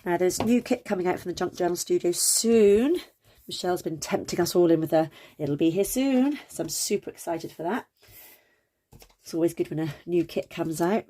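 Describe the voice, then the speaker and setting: A chatty woman talks through a craft project in a relaxed, rambling way, filling pauses with ums. Her voice sits a little low and sounds slightly croaky. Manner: chatty, with frequent ums and self-corrections. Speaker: crafting channel host. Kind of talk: casual craft tutorial chat.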